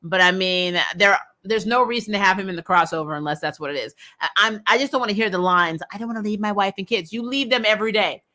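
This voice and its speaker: An American woman hosting a casual recap show, chatting in a blunt, animated, opinionated way with informal language. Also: mid-range voice, fast, hardly any pauses. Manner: animated, frequently laughing, blunt and informal with mild swearing. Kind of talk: casual opinionated fan chat, reading and answering comments.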